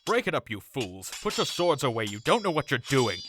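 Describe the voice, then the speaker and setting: A man voices an earnest young peacemaker in a radio play. His pitch rises and falls urgently. Clear noise sits under the voice. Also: sing-song, a little fast, lightly acted. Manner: urgent, pleading. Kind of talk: audio drama acting.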